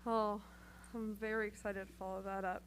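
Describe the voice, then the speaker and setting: A woman on a city council giving a prepared, earnest speech, speaking directly and deliberately to the room. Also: medium pitch, level tone, very noisy. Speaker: council member.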